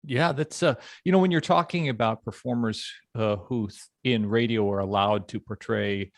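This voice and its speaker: A man hosting a podcast, telling anecdotes conversationally in halting, measured phrases. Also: medium pitch, no room sound, studio quality. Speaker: podcast host.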